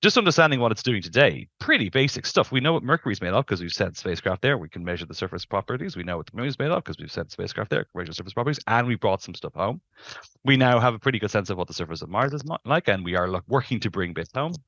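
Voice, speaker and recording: A man's voice: fairly low pitch, big pitch swings, quick pace, a bit bright, no echo, dull audio. A middle-aged man explaining things as an expert interview guest, emphatic and discursive, on a headset in a video call. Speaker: planetary scientist, interview guest.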